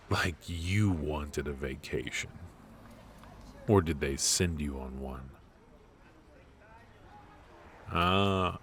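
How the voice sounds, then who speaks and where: A man playing a ruthless, teasing mafia boss in a noir ASMR roleplay, smug and flirtatious, with drawn-out pauses. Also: a bass voice, slight background noise. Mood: mocking, amused.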